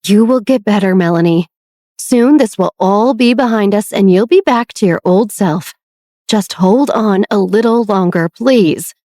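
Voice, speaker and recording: A woman's voice: mid-range voice, measured pace, a little mellow, no echo, studio quality, close to an everyday voice. A woman voicing a guarded older sister who speaks in a firm, clipped, resolute way. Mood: tender.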